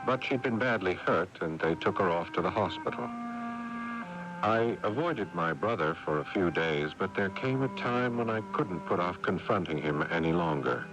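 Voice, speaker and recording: A man's voice: sits low, expressive, moderate pace. An actor playing a level-headed but exasperated brother who narrates his story in a 1980s radio drama. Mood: somber narration. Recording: muffled, noisy 1980s AM radio broadcast.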